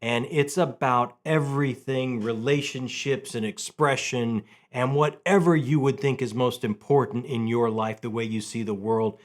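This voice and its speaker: A man hosting a casual, enthusiastic book vlog, talking in an animated way with halting restarts and repeated words. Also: mid-range voice.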